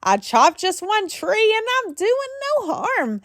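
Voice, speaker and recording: Storybook role: pushy, self-justifying businessman, dismissive and animated, dry close recording female reader. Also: very high voice, slow pace, no room sound, pitched above the performer's own voice, full character voice. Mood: eager, boastful. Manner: excitable, self-justifying, boastful. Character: greedy, dismissive male tradesman, boastful storyteller.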